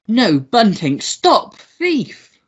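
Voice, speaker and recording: Overwrought man-child hero, wailing, dragging pace, extremely animated pitch, radio play melodrama. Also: very slow, breathy edge, lo-fi sound, full character voice. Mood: wounded, accusing. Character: dazed, hysterical, childish gentleman hero mourning his teddy.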